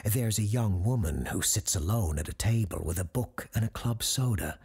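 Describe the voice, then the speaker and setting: An actor playing an eerie, learned radio host who tells supernatural tales in a measured, ominous way with deliberate pauses, his voice husky and gravelly. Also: fairly low pitch, animated, a little mellow, exaggerated voice. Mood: measured, mysterious. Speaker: paranormal advice show host. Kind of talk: scripted dramatic storytelling.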